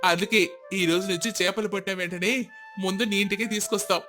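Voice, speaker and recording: A man's voice: sits high, steady tone, a little fast, music in the background, natural voice. A man playing a friendly, respectful village fisherman in a moral story, hearty and plain-spoken. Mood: grateful.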